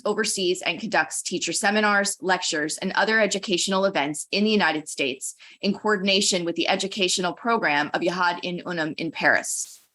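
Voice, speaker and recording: A woman hosting an online talk, reading a measured, formal introduction from a script. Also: fairly low pitch, sharp tone. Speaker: center director introducing a speaker. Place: online webinar.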